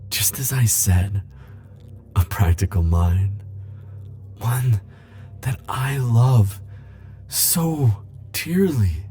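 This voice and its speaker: An actor playing a lovestruck immortal man, a tender and yearning hopeless romantic, in an intimate ASMR roleplay, with breathy, hesitant, pleading phrasing. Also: mid-range voice, expressive, very slow, pauses often, dark tone, a little hiss or hum. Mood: tender, teasing.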